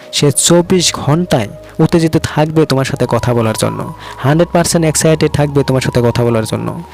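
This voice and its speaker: A man's voice: on the high side, darkish tone. A man narrates scripted motivational relationship advice, speaking directly to the listener in an instructive way. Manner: direct, instructive, addresses the listener with rhetorical questions.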